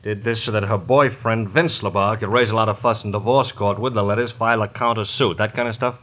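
Harried american cop lieutenant, bright forceful voice, doubtful, old radio crime show. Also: male voice, lowish voice, fairly even intonation, fairly quick. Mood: puzzled, surprised. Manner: skeptical, colloquial, interjecting. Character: skeptical, harried male police lieutenant. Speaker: radio drama actor. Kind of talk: 1950s radio detective drama acting. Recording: vintage 1950 radio broadcast recording.

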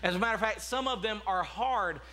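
A man's voice: sits high, fairly quick, bright, forceful tone. A man, a pastor preaching a sermon in a church sanctuary, talking conversationally with many restarts and asides. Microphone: podium mic, walking about the platform.

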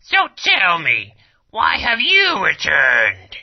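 A woman voicing a trapped, mutated female creature who guards a spell stone in a video game, with wailing, gravelly delivery. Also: on the low side, huge pitch swings, takes it slowly, full character voice. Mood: bitter. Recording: muffled lo-fi audio.

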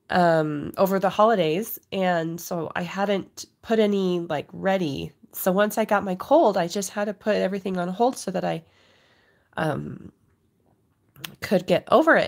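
A woman's voice: lowish voice, gravelly. Stuffy-voiced woman with a cold. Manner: apologetic, chatty. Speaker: crafting livestream host. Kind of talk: casual live unboxing chat. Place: home craft desk.

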